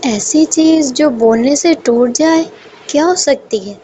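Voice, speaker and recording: A woman's voice: high-pitched, takes it slowly, mellow, slight background noise. A woman voicing a sweet, kind-hearted little bird in a children's cartoon, earnest and polite. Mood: thoughtful, eager. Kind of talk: Hindi children's cartoon dubbing.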